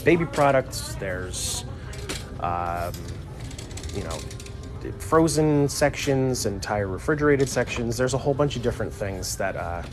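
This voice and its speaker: A man co-hosting a casual live stream from inside a pharmacy, explaining the work in a relaxed, rambling way with lots of fillers. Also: mid-range voice, measured pace, a crowd in the background. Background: store music.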